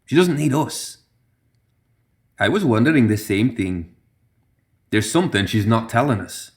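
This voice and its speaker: A man's voice: medium pitch, lively intonation, unhurried, natural voice. A man voicing a fierce, restless, suspicious demon woman in a story reading, terse and discontented. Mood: frustrated.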